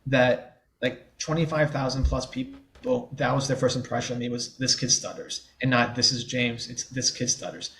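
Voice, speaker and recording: A man's voice: mid-range voice, steady tone, normal speed, few pauses, fairly bright tone, slight background noise. A man in his twenties from the New Orleans area, a guest in a podcast interview who stutters openly, with blocks and repeated sounds, and talks in a candid, easygoing way.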